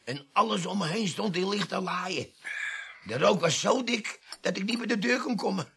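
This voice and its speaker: An actor in a radio play voicing a cheeky, self-pitying vagrant war veteran suspect with a gravelly, husky voice. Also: male voice, sits high, normal speed, sharp tone, full character voice. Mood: earnest.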